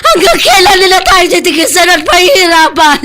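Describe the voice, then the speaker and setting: A woman's voice: very high voice, sing-song, brisk, pushed and bright, soft background music, heavily acted. An actress playing an anguished young woman in love, crying and pleading in a melodramatic radio drama. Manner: tearful, wailing, pleading.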